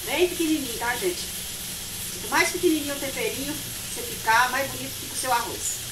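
A woman's voice: sits high, fairly quick. A middle-aged woman, chatting casually and directly to viewers as she demonstrates home cooking. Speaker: home cook and vlogger. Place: small home kitchen, indoors. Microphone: phone, partly from across the room. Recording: echoey kitchen with clear sizzling noise.

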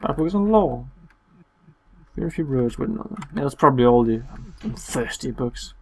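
A man giving casual, sarcastic and playful commentary on a horror game, swearing and reading game text aloud between quips, with a husky voice. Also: medium pitch, expressive, normal speed, a little mellow. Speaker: let's play commentator.

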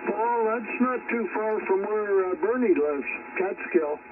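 A man's voice: high-pitched, expressive, measured pace, far from the mic. A man rambling casually as an amateur radio operator, heard over shortwave radio. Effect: shortwave radio transmission. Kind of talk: casual ham radio chat. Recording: narrowband, very noisy shortwave radio reception.

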